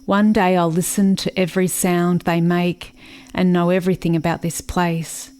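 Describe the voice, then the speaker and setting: An actress in a radio play playing a grieving, watchful country sister, narrating in a reflective, measured way. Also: female voice, lowish voice, flat delivery, a little mellow, smooth, close to an everyday voice. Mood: wistful.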